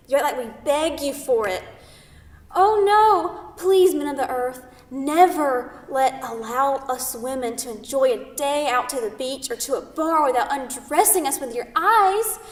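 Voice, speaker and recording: A high female voice rings from far off in an echoey hall. It is an actress as an indignant, sarcastic young woman in a stage comedy, scolding with sharp emphasis. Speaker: actor in a staged play reading. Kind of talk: staged reading of a comedic two-person play. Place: theatre stage, picked up from a distance. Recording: echoey theatre hall, distant microphone.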